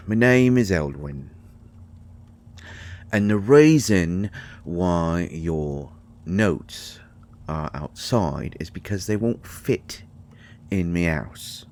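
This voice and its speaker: A man acts out a male fairy healer in an intimate roleplay audio. His voice sits very low and he takes his time, hesitating as he goes. He is grumpy and secretive, with a dry, exasperated sarcasm as he tends his patient. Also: animated, very slow, pauses often, darkish tone, heavily acted. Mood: hesitant, explaining.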